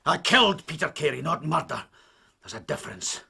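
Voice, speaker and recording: A fierce, giant harpooner, husky bright tone. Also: male voice, a little high, sing-song, fairly quick, exaggerated voice. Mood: defiant. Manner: blunt, gruff. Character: huge gruff male harpooner, fierce and blunt.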